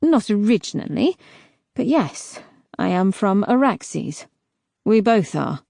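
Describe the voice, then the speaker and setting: A woman's voice: mid-range voice, very animated, slow, creaky, warm tone, no room sound, studio quality, exaggerated voice. A woman voicing a guarded young woman traveler who speaks tersely. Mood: plain.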